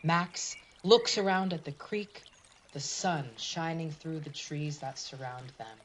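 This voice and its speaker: A woman's voice: sits low, takes it slowly, a little hiss or hum. A woman narrating a scripted storybook tale for a puppet show in a dramatic fairy-tale manner.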